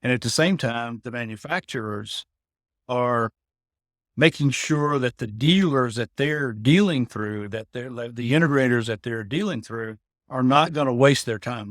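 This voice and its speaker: A man's voice: medium pitch, dry recording, studio quality. A middle-aged man, a veteran of his trade, explaining things in a casual conversation, often repeating words and asking "you know what I mean?". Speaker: guest, building automation integrator veteran.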